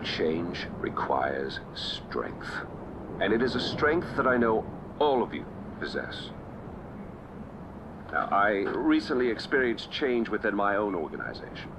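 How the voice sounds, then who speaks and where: An actor playing a flamboyant, sardonic, self-assured tyrant king in a video game, with mock-polite phrasing and deliberate pauses. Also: fairly low pitch, big pitch swings, background noise. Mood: grandly composed. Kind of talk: video game cutscene acting.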